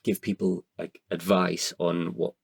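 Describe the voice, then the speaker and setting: A man's voice: low-pitched, level tone, unhurried, vocal fry, husky, dry recording, studio quality. A man talking casually as a podcast guest, candid and introspective, feeling his way through his thoughts with some hesitation. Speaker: podcast guest, writer and performer. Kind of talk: reflective casual interview talk.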